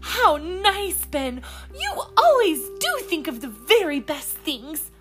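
Lady doing a bright eager big sister girl, high and lively. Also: a little slow, soft background music, heavily acted. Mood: delighted. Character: cheerful motherly older sister, young girl.

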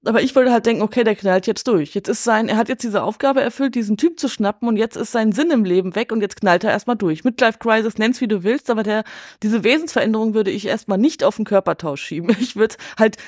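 A woman's voice: medium pitch, fast talker, a little mellow, breathy edge, no room sound, studio quality. A woman chatting casually and colloquially about film, freely giving her opinions. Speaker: podcast guest.

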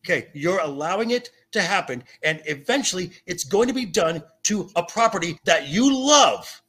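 Male podcast guest, sharp husky voice, indignant, emphatic, stammering repeats, heated debate delivery. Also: high-pitched, lively intonation, moderate pace. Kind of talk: heated debate about comic adaptations.

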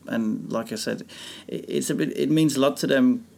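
A man's voice: mid-range voice, moderate pace, vocal fry. A man from Denmark, a native Danish speaker with a blended English and slightly Australian accent, chatting casually and rambling as an interview guest. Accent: blended English with Australian tinge. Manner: relaxed, rambling with restarts. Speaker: speedway rider guest.

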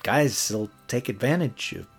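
Animated young male rock DJ. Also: medium pitch, a little slow, breathy edge, faint music behind. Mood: awkward. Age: young adult. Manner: awkward, stammering, jokey. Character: nervous, eager rookie male late-night rock DJ. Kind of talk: horror audio drama acting.

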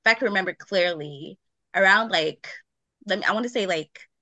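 A woman's voice: medium pitch, normal speed, pushed and bright, no room sound. A woman in her twenties, originally from Nigeria, chatting as a podcast guest in a loose, talkative way full of "like" fillers and frequent laughter. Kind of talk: casual chat.

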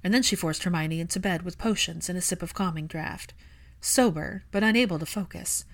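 A woman's voice: lowish voice, a little fast. A woman reading scripted fiction aloud as an audiobook narrator.

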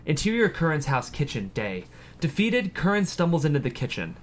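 A man's voice: on the high side, very animated, brisk, slight background noise. A man reading scripted screenplay stage directions as the narrator of a table read.

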